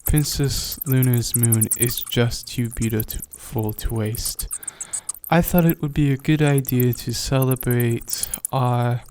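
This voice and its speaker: A man voicing a gentle, courteous young male pegasus pony, calm and romantic, in a fan story reading. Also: medium pitch, clean tone, natural voice. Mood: apologetic, tender. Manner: measured, polite.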